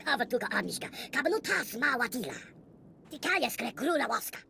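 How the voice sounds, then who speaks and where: A woman voicing an excitable small alien who chatters in a made-up tongue with a raspy voice. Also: high-pitched, a little fast, slight background noise, heavily acted.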